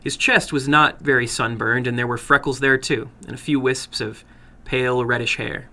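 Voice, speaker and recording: Male narrator, horror fiction, dramatic reading, gravelly edge, bright forceful tone. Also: medium pitch, steady tone, normal speed.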